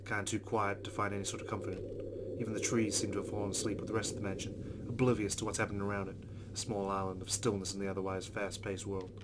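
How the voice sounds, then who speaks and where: A man's voice: lowish voice, flat delivery, fast, very noisy. A man hosting a let's play, reading visual novel narration aloud.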